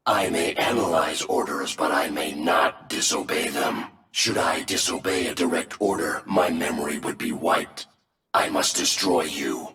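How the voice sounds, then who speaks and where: A man's voice: extremely low pitch, measured pace, pushed and bright, reverberant, heavily acted. A man voicing a menacing, coldly obedient combat robot in a video game, speaking in stiff, formal phrases. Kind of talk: dramatic video game voice acting.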